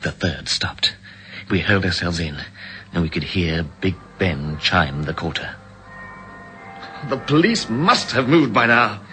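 A man's voice: low voice, lively intonation, unhurried, pauses often, pushed and bright, faint music behind, full character voice. An actor with a British accent narrating and playing a driven man in a vintage radio drama, clipped and urgent. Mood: grim. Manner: urgent and clipped in scenes, grim when narrating. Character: driven British man racing to stop a bomb. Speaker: narrator and lead character.

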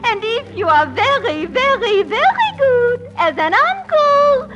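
A woman's voice: extremely high pitch, very animated, takes it slowly, dull audio, loud music behind the voice, voice pushed up, full character voice. A woman voicing a glamorous fake French nightclub singer who speaks coy broken English, on a 1940s radio broadcast recording. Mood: coquettish.

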